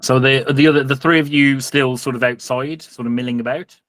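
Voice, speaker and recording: A man with a mid-pitched voice runs a tabletop game as game master. He improvises scene descriptions haltingly, and the sound is dry with very little room. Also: steady tone, fairly bright tone, dry recording.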